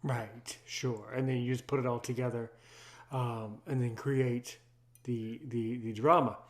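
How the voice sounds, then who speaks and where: A man's voice: medium pitch, fairly even intonation, measured pace. A man hosting a podcast conversation, speaking in an earnest, emphatic way and often repeating his phrases. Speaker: podcast host. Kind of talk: conversational interviewing.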